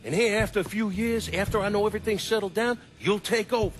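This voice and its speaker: A man playing a weary, bitter older crime boss and father in a cinematic game, lecturing gruffly and profanely. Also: sits high, fairly even intonation, fast, natural voice. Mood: weary, firm. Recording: music behind the voice.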